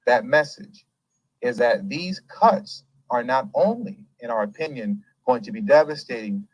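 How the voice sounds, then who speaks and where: A man's voice: medium pitch, no echo, rough recording. A man hosting a political news show, reading an article aloud and stopping often to add his own remarks and asides. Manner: reads a passage, then stops to add his own remarks; animated, interrupts himself with asides and laughs.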